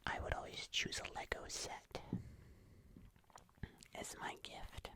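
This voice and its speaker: Casting: ASMR host, fully whispered delivery, breathy and gentle, casual rambling chat during a craft. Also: takes it slowly. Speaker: ASMR video creator.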